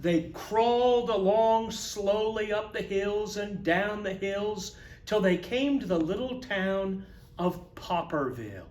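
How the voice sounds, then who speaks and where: Male children's storyteller, high-pitched, expressive and emphatic read-aloud, echoey room. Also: slow. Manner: expressive, emphatic, explains words in asides. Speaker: school storyteller reading to children. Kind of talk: animated read-aloud of a children's picture book.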